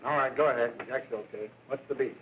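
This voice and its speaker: A man's voice: mid-range voice, fairly even intonation, fast talker, narrow, tinny audio, natural voice. Casting: male actor as cool, menacing racket boss, clipped and slangy, old noisy film soundtrack. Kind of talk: 1930s film acting.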